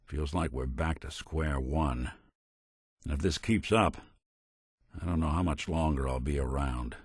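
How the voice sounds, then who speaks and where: An actor playing a world-weary, principled robot detective in a video game, with an American accent and wry, plainspoken, hard-boiled old-time talk. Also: male voice, fairly low pitch, animated, moderate pace, fairly bright tone, no room sound, studio quality. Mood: stern, disappointed. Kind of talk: video game character dialogue.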